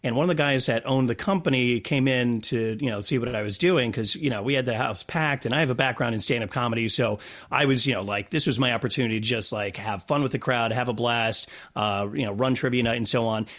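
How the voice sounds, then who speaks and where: A man's voice: medium pitch, fast talker, a bit bright. A man talking as an interview guest, telling stories casually with a playful, jokey manner. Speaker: guest, stadium PA announcer. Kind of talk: casual storytelling interview talk.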